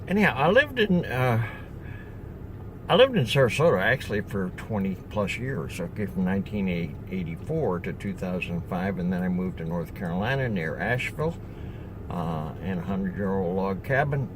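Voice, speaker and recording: An older man talks through a casual self-introduction video in a low voice, rambling and restarting as he goes. He sounds chatty and unhurried. The recording is noisy, with passing vehicles clearly heard behind him. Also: very animated. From upstate New York. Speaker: solar salesman.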